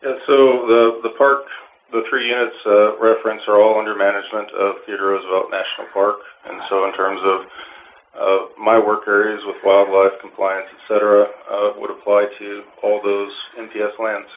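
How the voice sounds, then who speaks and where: A man being interviewed as a wildlife manager, giving measured, technical explanations. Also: on the low side, flat delivery. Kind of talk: informative interview answers. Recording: phone-like line with faint noise.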